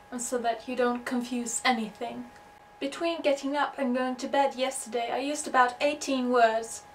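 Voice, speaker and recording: Young woman, Finnish accent, deadpan comedy monologue to camera, slow delivery, slightly distant home recording. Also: on the high side. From Finland. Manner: deadpan, measured. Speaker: video blogger. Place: small indoor room at home with bookshelves. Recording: faint noise and hum, distant mic.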